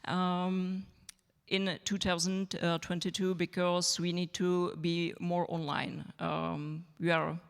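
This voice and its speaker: A woman's voice: on the low side, flat delivery, takes it slowly, vocal fry, smooth. A woman speaking English as a magazine editor on a festival panel, hesitant and full of fillers. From Czech Republic. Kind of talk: panel discussion remarks. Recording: reverberant hall.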